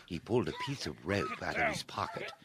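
A man's voice: deep voice, huge pitch swings, a little fast, fairly bright tone, slight background noise. A man narrating a radio play as a dramatic, scripted story. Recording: music behind the voice.

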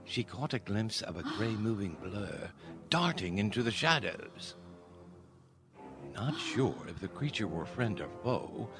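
A man narrating a dark fairy tale as a theatrical, scripted radio-play storyteller. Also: lowish voice, sing-song, a little slow. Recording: prominent music under the voice.

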